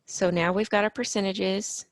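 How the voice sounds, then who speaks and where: A woman teaching a middle-school math lesson, explaining each step patiently and sounding a little hoarse from allergies. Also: mid-range voice, fairly even intonation, moderate pace, dry recording.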